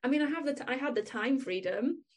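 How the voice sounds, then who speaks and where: A woman's voice: sits high, lively intonation, fast talker. A young adult woman with a Welsh accent, talking candidly and chattily as a podcast co-host, laughing often. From Wales. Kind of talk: casual chat.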